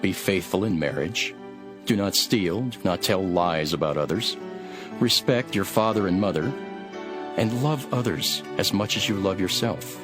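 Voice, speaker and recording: A man playing a calm, authoritative religious teacher in a dramatized scripture, solemn and measured. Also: low voice. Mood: firm, instructive. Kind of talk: dramatized scripture reading with storytelling. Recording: background music under the voice.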